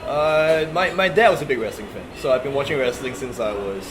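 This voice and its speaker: A man's voice: medium pitch, fairly quick, noisy background. Singaporean man telling stories, laughing often. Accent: Singaporean English. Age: 30s. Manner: animated storyteller, repeats words, laughs often. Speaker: guest, pro wrestler. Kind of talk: casual interview chat.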